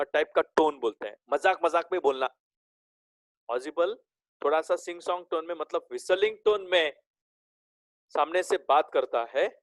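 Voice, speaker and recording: A male teacher giving a recorded class lesson. He reads English lines slowly and drawn out, explains them, and keeps checking in with "okay?". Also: a little high, no room sound, rough recording. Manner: slow, drawn-out reading of English lines, then explains in Hindi.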